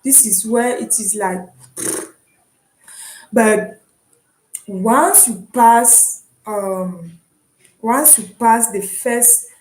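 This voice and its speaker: A young woman from Cameroon with a Cameroonian English accent, chatting casually and encouragingly about her online studies, often pausing with "um". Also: fairly high pitch, darkish tone, reverberant, poor audio. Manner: chatty and encouraging, with frequent "um" and restarts. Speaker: vlogger and online student. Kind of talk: casual explanatory vlog.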